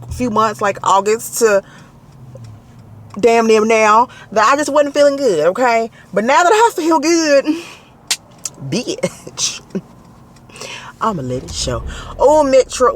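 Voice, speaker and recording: A man with a Southern Alabama accent vlogging casually from his car, animated and laughing often as he talks to a handheld camera. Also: high voice. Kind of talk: casual driving vlog. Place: in a car, driving. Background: faint car and road noise.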